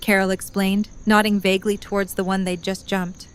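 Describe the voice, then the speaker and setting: A woman's voice: medium pitch, flat delivery, normal speed, smooth. A woman narrator reading a scripted story for an audio drama.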